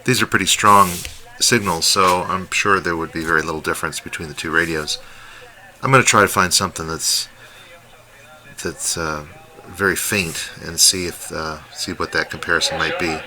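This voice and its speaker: A man giving a casual, unscripted demonstration as a hobbyist presenter, talking in a halting, musing way. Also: sits low, steady tone, a crowd in the background. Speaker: radio hobbyist presenting a comparison. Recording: faint background noise.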